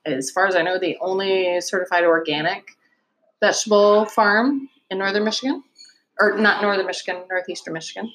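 Woman in her 30s from Virginia, farmer guest, friendly, chatty, mid-pitched, lots of ums. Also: fairly quick, a bit bright, slight background noise, low-quality recording. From Virginia, USA. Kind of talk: casual interview chat.

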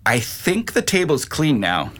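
A man's voice: a little high, wildly swooping pitch, unhurried, a bit bright, exaggerated voice. An actor playing a reasonable, skeptical middle-aged husband and father figure in an audio drama. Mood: mild, reasonable.

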